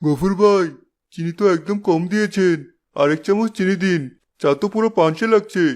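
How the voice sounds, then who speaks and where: A man's voice: sits high, moderate pace, darkish tone, close to an everyday voice. A man voicing a chatty, outspoken village tea-stall regular in a cartoon. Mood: complaining. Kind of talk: cartoon dub. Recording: music behind the voice.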